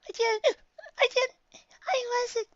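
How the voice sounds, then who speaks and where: A woman's voice: extremely high pitch, fairly even intonation, slow, no room sound, dull audio, voice pushed up. A woman voicing a timid, tearful little girl pony with a small, hesitant, mumbling childlike voice for a story. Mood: tearful, apologetic.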